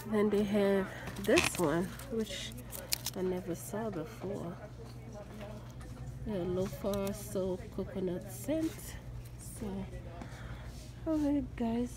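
Woman, unhurried vlogger, reads labels aloud, phone recording, loud store background. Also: mid-range voice, noisy background. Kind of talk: casual store walkthrough. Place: large discount store aisle, indoors. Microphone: handheld phone camera.

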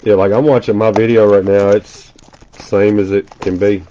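Brief: congested male host, lowish voice, quick chatty card-break patter, dull lo-fi audio. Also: flat delivery, dark tone, slight background noise. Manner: rattles off player names rapidly, chatty asides. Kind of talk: casual live-stream commentary while opening packs. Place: indoor room at a table.

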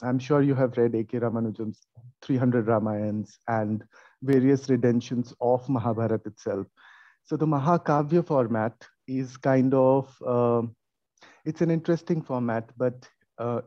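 Mellow Indian man, muffled call audio. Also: medium pitch, no echo. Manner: halting, thinking aloud. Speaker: conference panel audience questioner. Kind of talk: academic question and comment. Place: online video conference.

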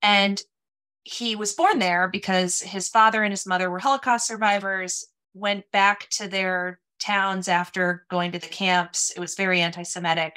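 A woman in her thirties, raised in Minnesota, chatting as a guest on a video-call podcast, animated and full of casual fillers. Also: lowish voice. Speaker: podcast guest, sales executive. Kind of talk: casual interview chat. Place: home office, small room.

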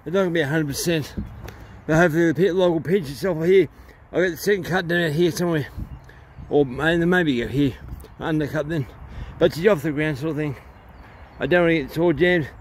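A man's voice: a little high, fairly quick. A middle-aged man talking casually and plainly as he presents his own unscripted hobby video outdoors. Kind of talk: casual unscripted vlog talk. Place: outdoors in an open wood yard. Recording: noisy outdoor recording with ambient hum.

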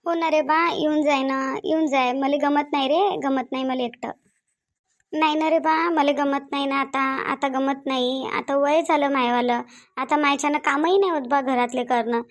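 A woman's voice: high voice, steady tone, moderate pace. A woman voicing a lonely older village mother in a cartoon, nagging and repetitive, with a Varhadi Marathi accent from Vidarbha. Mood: pleading. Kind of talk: cartoon family drama dialogue.

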